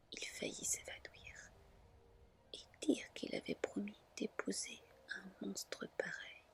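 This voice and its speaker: A woman narrating a fairy tale gently and in measured phrases, in a whispered ASMR style. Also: medium pitch. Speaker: ASMR storyteller.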